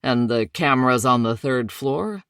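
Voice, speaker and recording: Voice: medium pitch, lively intonation, a little slow, a bit bright, no echo, clean studio sound. A reader as a weary, guarded lawman. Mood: firm. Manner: firm, controlled frustration. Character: stressed male sheriff, weary and guarded.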